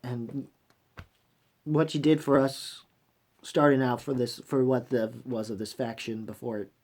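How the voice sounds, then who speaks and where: A man hosting a fan review channel, giving unscripted, opinionated commentary in a halting, emphatic, blunt way, often rewording his phrases. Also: mid-range voice, steady tone, a little mellow. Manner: blunt, swears freely.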